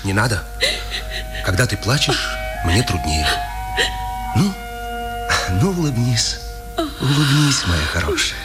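A brave, tender son in an old radio play. His voice is fairly low and moves expressively, with a gentle, consoling manner. Loud music plays behind him. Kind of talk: 1950s Soviet radio drama acting.

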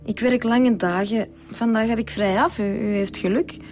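A woman's voice: a little high, fairly even intonation, moderate pace, darkish tone, close to an everyday voice. An actress playing a guarded young woman, a former escort under questioning, in a radio drama. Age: young adult.

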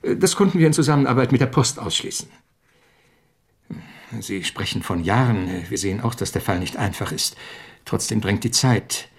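An actor playing a middle-aged corporate executive in a radio drama, speaking in a formal, businesslike and insistent way. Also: male voice, on the low side, animated, moderate pace, husky. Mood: grave, urgent.

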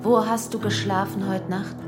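A woman's voice: mid-range voice, flat delivery, moderate pace, natural voice. An actress playing a haunted woman who recites mournful, poetic lines with restraint in a radio drama. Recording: loud guitar music behind.

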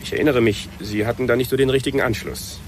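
Ein Schauspieler spielt in einem Hörspiel einen umgänglichen, etwas misstrauischen Clubwart, der locker und umständlich spricht. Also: male voice, mid-range voice, fast talker, slight background noise, lightly acted. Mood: awkward, wary. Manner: casual, rambling, searching for words. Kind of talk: naturalistic German radio drama.

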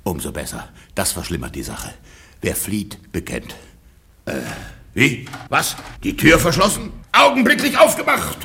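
Blustering jealous husband, wildly lively voice. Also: male voice, mid-range voice, measured pace, creaky, a little hiss or hum, full character voice. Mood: smug, then outraged. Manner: mocking, exaggerated sarcastic politeness, blustering exclamations. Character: jealous, gloating peasant husband, blustering and outwitted. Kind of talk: theatrical radio comedy acting.

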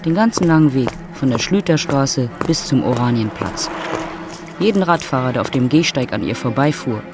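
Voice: mid-range voice, lively intonation, moderate pace. A narrator reading scripted literary prose for an audio drama. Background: music.

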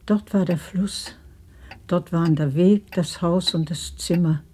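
A woman acting in a radio play as a solemn figure recalling the past in a deliberate, incantatory way. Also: lowish voice, darkish tone, slight background noise, natural voice. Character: solemn woman pointing back into a remembered past.

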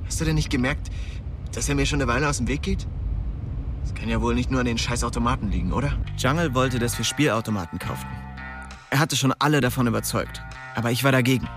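A man's voice: mid-range voice, fast, natural voice. Man acting young male small-time dealer, weary, bitter, coarse slang, radio crime drama narrator, music behind. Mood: bitter, tired. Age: young adult. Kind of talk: radio drama, first-person narration and dialogue.

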